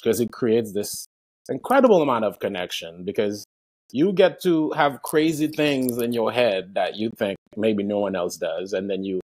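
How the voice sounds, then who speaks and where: A man's voice: mid-range voice, darkish tone. Haitian-accented man, earnest comedian. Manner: reflective, earnest, swears casually. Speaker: stand-up comedian guest. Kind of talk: casual conversation.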